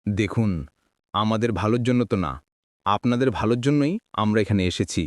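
Low-voiced persuasive land buyer played by a man. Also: brisk, dry recording, clean studio sound, lightly acted. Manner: insistent, persuasive. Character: persuasive developer's man pressing villagers to sell land. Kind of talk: cartoon voice acting.

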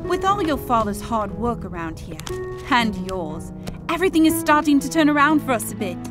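An actress playing a gentle, doting nineteenth-century farm mother in a family audio drama, tender and affectionate. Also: on the high side, sing-song, normal speed. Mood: loving, playful. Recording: music behind the voice.

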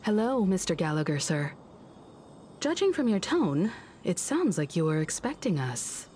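A woman's voice: on the low side, very animated, unhurried, a little mellow, a little hiss or hum. An actress playing a poised, courteous and tactful mature woman in an anime video game, polite and composed.

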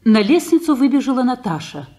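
A woman reading stage directions calmly for a 1950s radio play. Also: fairly high pitch, a little fast. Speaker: narrator. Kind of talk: scripted stage-direction narration.

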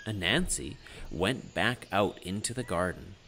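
A man narrating a children's story in an expressive, theatrical way. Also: low voice, a little slow, creaky, noisy background. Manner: expressive, dramatic delivery. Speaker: storyteller, puppet show narrator.

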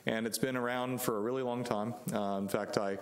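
A man's voice: mid-range voice, fairly even intonation, low-quality recording. A man in his forties with laryngitis, presenting a zoning application as an attorney at a council chamber podium mic. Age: 40s. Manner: measured, many fillers. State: hoarse from laryngitis. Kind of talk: formal public presentation. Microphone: podium microphone.

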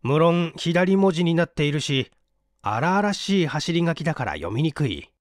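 A man's voice: on the high side, very animated, moderate pace, no echo, clean studio sound. A man reading a mystery story aloud as scripted, dramatic narration. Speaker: audiobook narrator.

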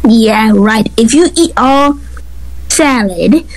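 A woman voicing an arrogant, childish buff male gym bully in an amateur animated skit, taunting in a drawn-out way. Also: mid-range voice, a little hiss or hum. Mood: mocking, boastful.

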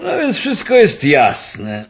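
A man acting a brusque, self-assured police inspector in a radio play, commanding and condescending, with drawn-out emphasis. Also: on the high side, huge pitch swings, creaky, breathy edge, lo-fi sound, faint music behind, heavily acted. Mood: smug, confident.

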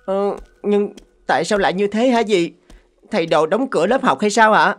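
A woman voicing a respectful, earnest young son in an animated folk tale. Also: mid-range voice, moderate pace, natural voice. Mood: polite, worried.

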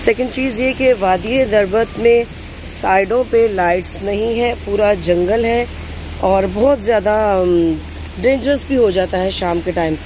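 A man with a notably high voice talks to viewers in an instructive, practical way, slipping English words into his advice. He sounds like a travel vlogger recording outdoors. Heavy background noise runs under his voice. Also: measured pace, a little mellow, low-quality recording.

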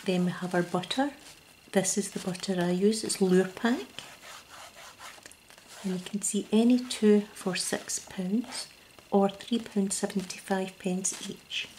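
Scottish lady whispering asmr real slow, soft and close, bit of hiss behind. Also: mid-range voice, low-quality recording. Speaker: ASMR creator. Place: indoors at a table.

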